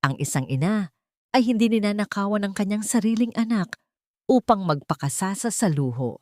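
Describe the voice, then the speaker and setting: A woman voicing a wronged, defiant daughter with a sharp, accusing manner in an audio drama. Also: mid-range voice, expressive, normal speed, no room sound, studio quality, close to an everyday voice. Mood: bitter, defiant. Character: Marian, wronged daughter, cold, defiant, accusing her stepmother.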